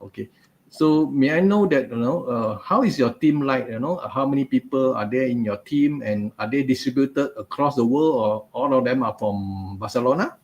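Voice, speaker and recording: A man's voice: medium pitch, moderate pace, dull audio. Chatty man hosting a webinar. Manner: chatty, many fillers and "you know". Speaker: host. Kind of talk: live webinar interview. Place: home office, video call. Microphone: video call webcam.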